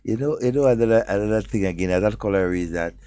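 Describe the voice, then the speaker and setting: A man co-hosting a studio political talk show, forceful and blunt, stumbling over words when he starts. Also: on the low side, rapid-fire, clean tone, no room sound. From Grenada. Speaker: co-host.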